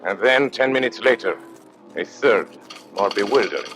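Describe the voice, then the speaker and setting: An actor narrating a radio mystery as a grave storyteller, formal and measured. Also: male voice, on the low side, expressive, music in the background, natural voice. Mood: grave, suspenseful.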